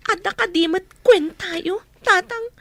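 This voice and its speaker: Very high-pitched exasperated sister. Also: female voice, moderate pace, a bit bright, dry recording. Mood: scolding. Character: exasperated eldest sister, reproachful and burdened. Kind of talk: radio drama acting.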